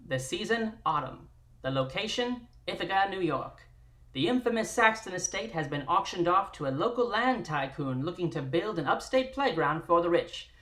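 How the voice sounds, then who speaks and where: A woman's voice: on the low side, a bit bright. A woman hosting a live-streamed table read, introducing it and narrating from a script.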